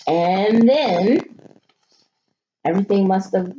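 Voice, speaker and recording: Female primary teacher, expressive read-aloud with questions to kids, unhurried delivery, dulled and noisy home-style audio. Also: mid-range voice. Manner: expressive, thinking aloud, questioning young listeners. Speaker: elementary school teacher. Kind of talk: animated children's picture-book read-aloud with teaching asides. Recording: muffled audio with clear background noise.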